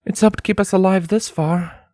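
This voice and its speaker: A man voicing a cautious, pragmatic female pony who is protective and wry, speaking in a plain, measured, slightly formal way for a storybook reading. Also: sits high, fairly even intonation, warm tone, dry recording, clean studio sound, voice pushed up, natural voice. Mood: plain, guarded.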